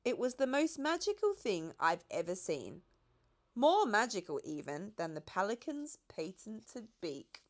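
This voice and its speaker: Slow, very animated woman reading a storybook. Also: medium pitch. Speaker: audiobook reader. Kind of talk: expressive children's storybook narration.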